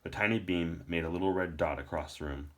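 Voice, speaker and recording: A man's voice: deep voice, fairly quick, fairly bright tone. A man narrating a novel aloud in a storybook read-aloud style.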